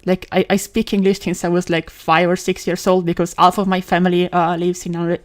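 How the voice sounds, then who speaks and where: A woman's voice: lowish voice, little pitch movement. Woman chatting on a game stream. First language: Italian. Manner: rambling, with many fillers, restarts and quick laughs. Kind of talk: casual live-stream chat while gaming.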